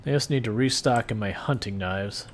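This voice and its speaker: A man streaming a game live, talking through his play in a calm, soothing, laid-back way. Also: on the low side, normal speed, slight background noise. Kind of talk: casual live stream gameplay commentary.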